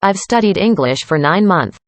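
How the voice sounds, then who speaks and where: A woman reading a student's part in a scripted classroom conversation, calm and plain. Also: on the low side, normal speed, no room sound. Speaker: student in a dialogue.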